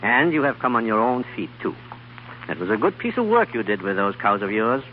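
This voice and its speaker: Kindly old scientist, muffled vintage radio. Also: male voice, fairly low pitch, sing-song, fairly quick. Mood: fond, pleased. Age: older. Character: kindly old scientist, proud mentor to a younger man. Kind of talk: 1930s radio acting. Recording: muffled, hissy 1930s radio recording.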